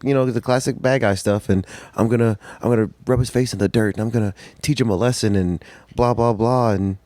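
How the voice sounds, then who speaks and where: A soft-spoken young man who calls his own voice high-pitched, chatting casually as a podcast guest. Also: steady tone, fairly quick, no echo. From North Carolina. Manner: soft-spoken, laughs often.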